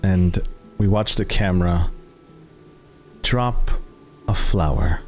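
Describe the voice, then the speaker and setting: A man narrating a tabletop roleplaying game as game master, with deliberate dramatic pauses. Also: low-pitched, darkish tone. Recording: background music under the voice.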